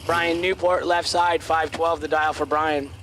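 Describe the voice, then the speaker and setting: A man announcing drag races at an outdoor track in clipped, terse calls, his voice going hoarse. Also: on the high side, level tone, measured pace, a bit bright, a little hiss or hum. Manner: clipped, terse calls of lanes, dial-ins and reaction times. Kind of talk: race commentary.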